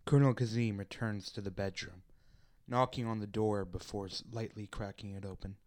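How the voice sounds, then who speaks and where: A man's voice: fairly low pitch, steady tone, moderate pace, a little mellow, dry recording, clean studio sound. A man giving scripted narration, reading stage directions as the narrator of a radio play.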